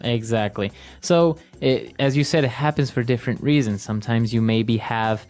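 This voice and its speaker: A man's voice: mid-range voice, moderate pace, darkish tone, soft background music. A man co-hosting an English-learning podcast, agreeing with his partner and adding examples in casual chat. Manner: agreeable, affirms and adds examples. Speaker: podcast co-host, English teacher.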